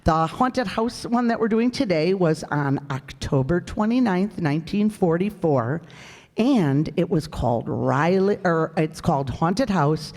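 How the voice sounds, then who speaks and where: In an echoing hall, a woman presenter gives a prepared introduction. Her voice sits a little low and she takes her time. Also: expressive, measured pace, darkish tone. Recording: echoey hall stage recording.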